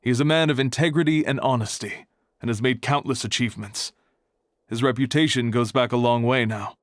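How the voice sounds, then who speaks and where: A man plays a serious scholar who keeps an academy's archive in a video game. He is reserved and measured, with a medium-pitched voice and a matter-of-fact delivery. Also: no room sound, lightly acted.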